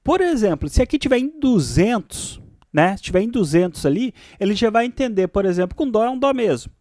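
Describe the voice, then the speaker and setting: High-pitched, lively Brazilian man teaching. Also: brisk, no room sound, studio quality. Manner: explanatory, often checking understanding with tag questions. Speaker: music software instructor. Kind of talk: informal screen tutorial.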